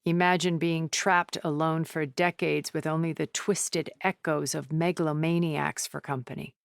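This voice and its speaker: A woman acting an elegant, calculating, regretful centuries-old woman in a video game, speaking in a measured, deliberate and intense way. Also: deep voice, level tone, gravelly, clear, resonant tone, no echo, clean studio sound. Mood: grave, ominous.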